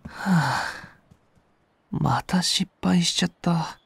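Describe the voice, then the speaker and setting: An actor playing an earnest, friendly young rookie nursery teacher who is eager and apologetic, in an anime-style audio drama. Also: male voice, high-pitched, takes it slowly, gravelly, noisy background, exaggerated voice. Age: young adult.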